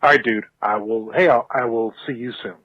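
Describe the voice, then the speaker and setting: A man with a mid-pitched voice leaves a casual, congratulatory message. He sounds wry and mock-boastful, and the recording is dull, lo-fi and dry. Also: brisk, no echo. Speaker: call-in well-wisher, podcast network boss.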